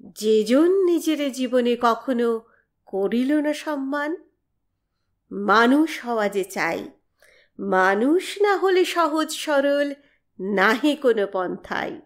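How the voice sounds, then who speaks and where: A woman reciting poetry in a dramatic, exhorting style with measured phrasing. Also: high-pitched, animated.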